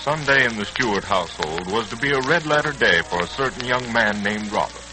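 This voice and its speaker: A man's voice: on the low side, animated, a little fast, over loud music, close to an everyday voice. A man playing a solemn announcer and narrator of a 1940s radio serial, formal and dramatic. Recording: crackly 1940s radio recording.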